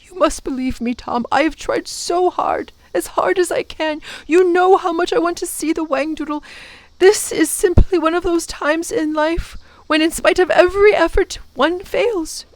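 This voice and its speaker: A woman voicing a kind, brave old male professor who speaks in a gentle, formal way and breaks into defiant shouts. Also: very high-pitched, normal speed, no room sound, pitched above the performer's own voice, rough recording. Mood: tender.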